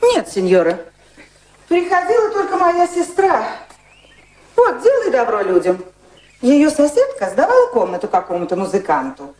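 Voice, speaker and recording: Chatty, lively, deferential maid. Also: female voice, high-pitched, normal speed. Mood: gossipy. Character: deferential, talkative Italian maid, anxious and gossipy. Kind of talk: radio drama acting.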